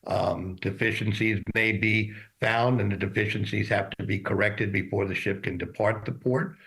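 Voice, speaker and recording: A mature man with a fairly low voice speaks as an industry expert in a news interview. He explains things matter-of-factly, pausing and feeling his way through unscripted answers. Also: fairly even intonation, gravelly, fairly bright tone, poor audio. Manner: halting, explanatory, matter-of-fact. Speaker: shipping executive as expert guest.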